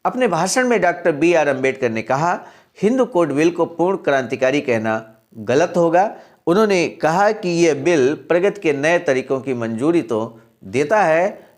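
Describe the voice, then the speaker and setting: A man's voice: a little high, animated, normal speed. Earnest man reading a historical text. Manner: earnest, addresses listeners as honored friends. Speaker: presenter of a channel's daily message. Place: center in Sarnath, Varanasi.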